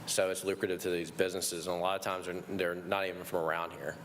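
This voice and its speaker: A man's voice: low voice, level tone, fast talker, fairly bright tone, a little hiss or hum. A man moderating a business panel in a meeting room, talking in a chatty, conversational way.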